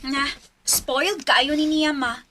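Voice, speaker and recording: A woman's voice: high voice, fairly even intonation, slow, bright, forceful tone, a little hiss or hum, exaggerated voice. An actress with a Filipino accent playing a cheerful, chatty young wife and mother in a Bisaya radio drama. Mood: cheerful, teasing. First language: Cebuano.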